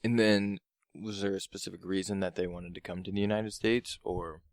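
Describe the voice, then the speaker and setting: Deep gravelly interviewer, dry close sound. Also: male voice, level tone, no room sound. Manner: short curious prompts and quick affirmations. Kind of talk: casual interview questions.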